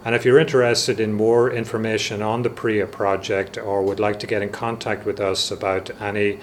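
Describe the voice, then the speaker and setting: Low-voiced man presenting, halting delivery. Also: flat delivery, slight background noise. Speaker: research project lead and presenter. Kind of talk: informational project presentation.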